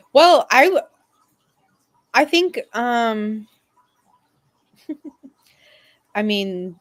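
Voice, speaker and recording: A woman chats casually as a panelist among fans. Her delivery is slow and hesitant with a giggly streak, and her voice sounds dry and close, with little room sound. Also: lowish voice, no room sound. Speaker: fan panelist. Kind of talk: casual chat.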